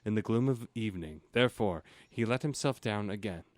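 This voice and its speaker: A man's voice: fairly low pitch, fairly quick, no room sound. A man reading a storybook as a comedy host, breaking off for casual, sarcastic asides. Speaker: host and narrator of parody audiobook show.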